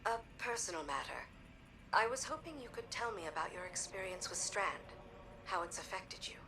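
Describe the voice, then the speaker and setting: A woman's voice: mid-range voice, expressive, moderate pace, recorded from a distance, background noise, close to an everyday voice. An actress playing a stoic, loyal woman soldier in a video game, speaking in a restrained, earnest, self-doubting way. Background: music.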